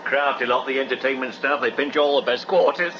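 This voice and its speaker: An actor playing a jovial, flirtatious, roguish professor in a radio comedy drama, chuckling, hearty and patronising. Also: male voice, mid-range voice, brisk, natural voice. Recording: noisy, dull 1980s radio broadcast recording.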